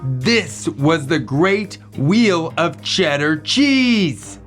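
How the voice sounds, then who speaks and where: A man reads a children's story aloud in a playful, theatrical style. His pitch swings widely and his pace is unhurried. Soft music plays behind him. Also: lively intonation. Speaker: co-host of a children's read-aloud show. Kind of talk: animated read-aloud narration for young children.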